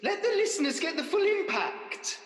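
Melodramatic, vain pantomime dame played by a woman, high and swooping, in an echoey hall. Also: big pitch swings, normal speed. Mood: brisk, comic. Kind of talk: radio pantomime acting.

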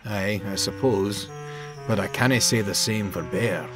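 A man's voice: lowish voice, unhurried, over music, lightly acted. A man voicing a loyal, blunt, hearty steam engine with a Scottish accent in a children's story. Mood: concerned, suspicious.

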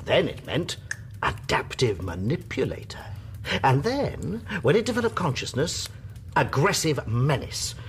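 An actor playing a gentle, thoughtful survivor in a radio drama, earnest and grave. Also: male voice, medium pitch, very animated, a little slow, a little hiss or hum. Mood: grave, reflective.

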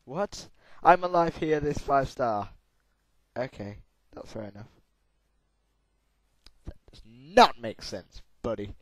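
A hoarse young man with a sore throat, giving excitable let's-play commentary while gaming. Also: medium pitch, takes it slowly, long pauses, dry recording, rough recording. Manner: excitable, yelps and laughs at crashes. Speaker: gamer.

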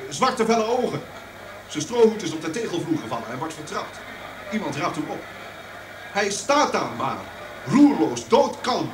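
An actor in a Dutch radio play playing an agitated live reporter, describing a scene in urgent, halting phrases. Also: male voice, high voice. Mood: tense, urgent. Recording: distant, echoey, noisy location-report sound.

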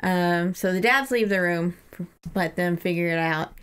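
A woman's voice: fairly low pitch, measured pace, fairly bright tone, clean tone. A woman co-hosting a podcast, casually recapping a book in an informal, amused way. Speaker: podcast co-host.

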